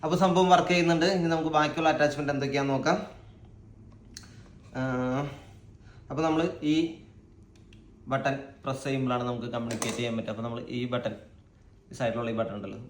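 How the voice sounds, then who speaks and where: A man talks casually through an unboxing in a very echoey room with faint noise behind him. His voice sits at a middling pitch, and he works things out aloud without a script. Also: fast talker, pauses often. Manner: unscripted, thinking aloud. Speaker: vlogger.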